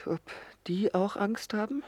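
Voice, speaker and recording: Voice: mid-range voice, moderate pace, darkish tone, no room sound, studio quality, close to an everyday voice. A performer playing an anxious, pessimistic character in a German radio play, fearful and brooding. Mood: afraid. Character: anxious, pessimistic creature, called Perle, fretting about humans.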